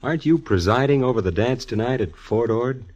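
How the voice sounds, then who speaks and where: An actor playing a polite, obliging bartender in a vintage radio drama, speaking in a courteous, mild way. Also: male voice, mid-range voice, expressive, a little fast, darkish tone, lightly acted. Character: polite, obliging male bartender, new on the job. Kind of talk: 1940s radio drama acting. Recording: dull vintage radio recording.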